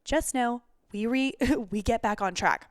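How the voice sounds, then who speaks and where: Casting: young woman podcast host, rambling and chatty, smooth clear tone, dry close sound. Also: fairly high pitch, unhurried, dry recording. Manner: chatty, rambling, self-interrupting. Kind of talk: solo intro then interviewing.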